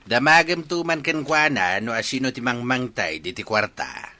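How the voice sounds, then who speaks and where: A man's voice: medium pitch, animated, normal speed, pushed and bright. A man acting as a cranky, meddling older father in a radio comedy drama, scornful and blustering. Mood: scornful, grumbling.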